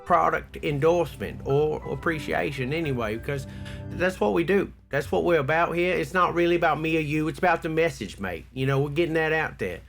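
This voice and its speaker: A man's voice: a little high, brisk, creaky, a bit bright, faint music behind. A man doing a put-on as an earnest male co-host, rambling with lots of mate and you know. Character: earnest male Aussie co-host bloke, fond of commercials. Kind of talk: improvised comedy banter.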